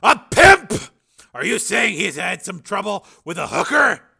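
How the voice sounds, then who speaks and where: A man's voice: high voice, very animated, moderate pace, creaky, sharp tone, husky, voice pushed up, full character voice. A man voicing a tough, worried police lieutenant and father with an intense, demanding manner in an audiobook drama. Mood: tense, disbelieving.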